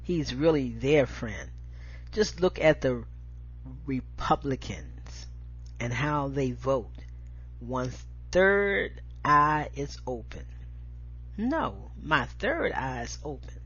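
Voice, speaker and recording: American woman doing spoken word, husky and slow, emphatic, kinda dull lo-fi recording. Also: a bass voice, lively intonation, a bit bright. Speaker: show host and poetry reader. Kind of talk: spoken-word poetry show. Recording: dull lo-fi audio.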